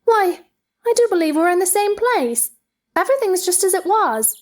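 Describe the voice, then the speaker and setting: A woman's voice: very high-pitched, sing-song, normal speed. A woman playing a curious, polite young girl, speaking with earnest wonder in a theatrical storybook dramatization. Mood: puzzled, polite.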